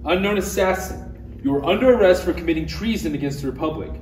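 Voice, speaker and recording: A man's voice: fairly high pitch, animated, quick pace, a little mellow, lightly acted. A man playing an earnest, exasperated Jedi master in a comedic script table read, dry and formal with casual asides. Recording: echoey room, distant mic, faint noise.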